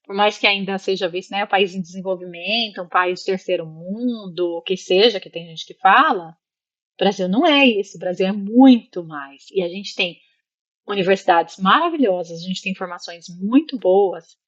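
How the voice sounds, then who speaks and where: A woman with a Brazilian accent talks as a guest in a casual interview, at a middling pitch. She is expressive and reflective, often seeking agreement as she explains. The recording is slightly dull and lo-fi. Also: moderate pace. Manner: expressive, acts out imagined remarks, seeks agreement with listeners. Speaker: interview guest, psychologist living in Italy.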